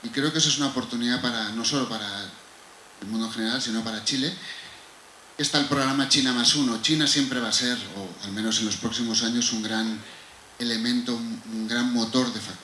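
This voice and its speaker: A man's voice: medium pitch, steady tone, brisk, sharp tone. A man from Spain with a Spanish accent, answering a question on a conference panel in a conversational, discursive way. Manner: conversational, discursive, with rhetorical asides. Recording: echoey room with faint noise.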